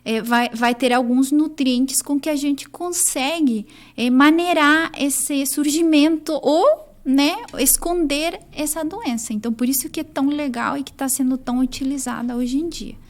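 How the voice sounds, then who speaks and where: A woman's voice: high-pitched, moderate pace. A young adult woman from Chile with a Chilean accent, a nutritionist guest explaining her work in an interview, with frequent fillers. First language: Spanish. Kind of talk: interview answers.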